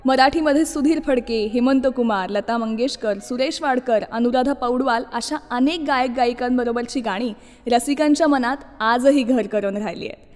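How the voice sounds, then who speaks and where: Female radio host for a nostalgic music show, high voice, conversational address, heavily reverberant sound. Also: moderate pace, a little mellow. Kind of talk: radio presenting of classic film songs. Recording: long reverb on the voice.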